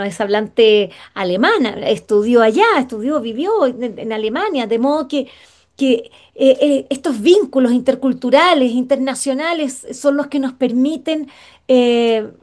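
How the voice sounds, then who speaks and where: A woman from Chile hosting a conversational interview, pausing often with fillers and restarting her sentences. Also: high voice, darkish tone. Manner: hesitant, many fillers and restarts. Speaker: radio show host.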